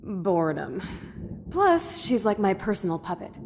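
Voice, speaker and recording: A woman's voice: mid-range voice, big pitch swings, a little slow, darkish tone. A woman playing a bitter, foul-mouthed rebellious young woman in a video game, full of scorn. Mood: mocking. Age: young adult. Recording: long reverb, faint noise.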